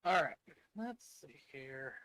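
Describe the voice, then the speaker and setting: A man's voice: medium pitch, expressive, takes it slowly, fairly bright tone, no echo. A man chatting casually as he takes part in a tabletop card game.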